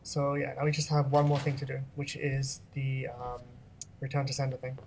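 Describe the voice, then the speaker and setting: Let's-play host, casual rambling commentary, mid pitch, faint background hiss. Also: normal speed, vocal fry. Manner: relaxed, rambling, frequent ums and laughs.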